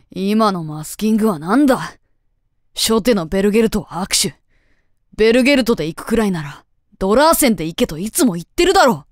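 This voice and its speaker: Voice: mid-range voice, lively intonation, normal speed, pauses often, dry recording, natural voice. A performer acting a haughty, hot-headed, unfriendly teenage boy with a curt, scornful manner, in an amateur voice drama. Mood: scolding, angry. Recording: dry, faint music underneath.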